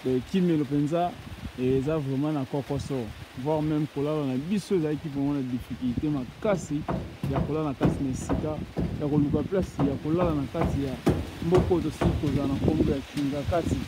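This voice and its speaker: A man chats through a casual report from open grassland and switches freely between languages. His voice sits fairly high. Clear outdoor noise runs under the phone recording. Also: steady tone, fairly quick. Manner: mixes Lingala and French, chatty, repeats words. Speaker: reporter presenting a building project.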